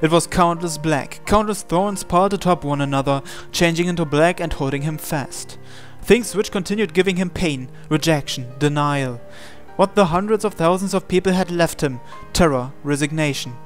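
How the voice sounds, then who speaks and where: A man reading scripted, dramatic story narration in a measured, solemn way. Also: a little high. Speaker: narrator reading translated game text. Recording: music behind the voice. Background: music.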